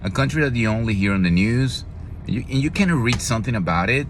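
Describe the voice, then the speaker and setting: A man's voice: medium pitch, lively intonation, fast. A man from Venezuela with a Venezuelan Spanish accent, talking heatedly in a casual political monologue, with fillers, restarts and swearing. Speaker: vlogger. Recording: loud traffic noise outdoors.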